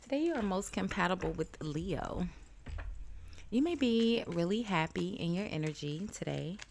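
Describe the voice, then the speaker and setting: A woman giving a casual tarot reading, stuffed up with a cold, talking in a chatty, halting way with many ums. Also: fairly low pitch, animated, creaky, a little mellow, a little hiss or hum. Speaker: tarot reader.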